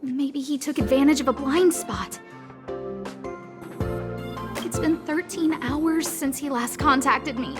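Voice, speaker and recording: A woman voicing an anxious, bossy but soft-hearted young lead researcher in a video game, speaking earnestly and expressively. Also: high-pitched, music in the background. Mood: worried. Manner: earnest, fretful, expressive, with sighs and hesitant pauses.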